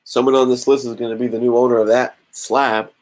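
A man's voice: medium pitch, flat delivery, fairly quick, a little mellow. A man in his thirties hosting a casual comic-collecting stream from a small room at home, chatting and counting entries aloud into a webcam mic. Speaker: YouTube comic channel host. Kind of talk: casual livestream raffle chat.

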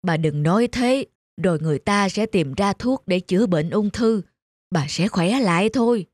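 A man's voice: high voice, lively intonation, moderate pace, no room sound, studio quality. A man voicing a devoted old farmer in a cartoon, earnest and affectionate. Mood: earnest, encouraging. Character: devoted old bearded farmer, tender and sorrowful husband. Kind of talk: cartoon dubbing.

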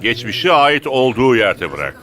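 Firm cartoon coach, forceful voice. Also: male voice, mid-range voice, sing-song, a little slow. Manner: firm, instructive. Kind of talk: cartoon dubbing.

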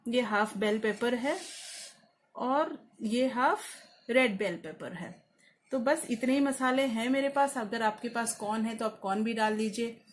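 A woman's voice: on the high side, unhurried. A woman giving step-by-step cooking instructions, mixing in English words. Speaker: home cook presenting her recipe channel. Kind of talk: step-by-step cooking tutorial voice-over. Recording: clear kitchen noise, frying sizzle. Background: sizzling food and stirring.

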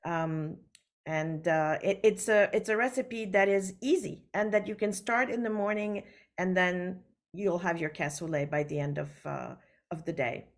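A woman from Switzerland whose first language is French, an author talking over a video call from her book-lined study, unhurried and full of fillers. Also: on the low side. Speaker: author guest. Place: home study with full bookshelves. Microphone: laptop webcam on video call.

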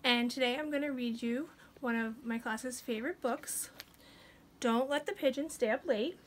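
High-voiced woman kindergarten teacher. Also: moderate pace. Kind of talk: storytime introduction for young children. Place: small furnished living room, indoors. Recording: some room noise behind.

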